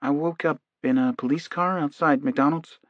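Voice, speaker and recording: Man voicing a soft, hesitant male defendant. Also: a little high, fairly even intonation, no room sound, dull audio, voice pushed up, close to an everyday voice. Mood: hesitant recalling. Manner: soft, halting, half-distracted. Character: hypnotized male defendant, meek, earnest, hesitant.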